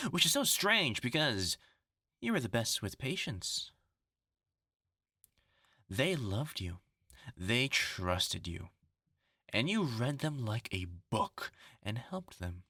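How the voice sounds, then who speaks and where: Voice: mid-range voice, bright, forceful tone, husky, no echo, clean studio sound, heavily acted. An actor in a horror audio roleplay voicing a bitter, grandiose scientist bent on revenge, mocking, theatrical and menacing. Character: vengeful, gloating scientist turned superhuman, bitter and grandiose.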